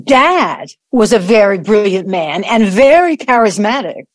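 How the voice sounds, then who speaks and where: A woman's voice: medium pitch, sing-song, unhurried, dry recording, studio quality. A woman playing a wary, proud tycoon's daughter in an audio play, guarded and proper in manner. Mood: grieving, proud.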